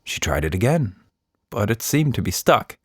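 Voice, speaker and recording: A man narrating a scripted children's story in an expressive storyteller's manner. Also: lowish voice, normal speed, breathy edge, no room sound, studio quality. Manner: expressive, animated reading.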